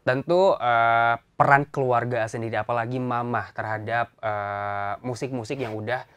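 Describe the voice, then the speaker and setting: A man acts as the interviewer in a casual conversation. His voice sits at a middle pitch. He speaks informally, with frequent small sounds of agreement, and echoes what he is told. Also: fairly even intonation, a little slow, a bit bright, clear, resonant tone, highs cut off. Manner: frequent backchannels, echoes the guest's answers, informal. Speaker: interviewer, host.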